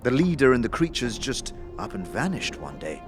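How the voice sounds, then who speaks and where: A man's voice: mid-range voice, big pitch swings, normal speed, over music, close to an everyday voice. Man playing Sebastian, a wry, worldly fixer. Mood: confiding. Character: Sebastian, mischievous worldly male fixer, knowing lore-teller.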